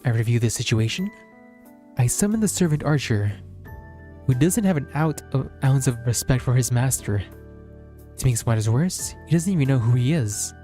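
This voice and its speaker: A man's voice: mid-range voice, lively intonation, normal speed, warm tone, soft background music. A man streaming as a VTuber, reading a visual novel aloud as narration.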